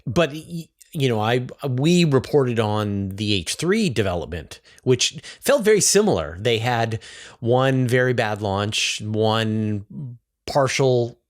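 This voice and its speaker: A man's voice: mid-range voice, animated, slow. A middle-aged man hosting an interview, talking conversationally as he asks questions and adds his own comments. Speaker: interviewer, host.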